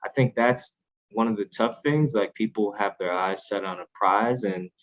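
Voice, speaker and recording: A man speaking as an interview guest, earnest and measured, with frequent fillers and restarts. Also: on the low side, highs cut off. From United States, Haitian family. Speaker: podcast guest, author. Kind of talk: reflective interview talk.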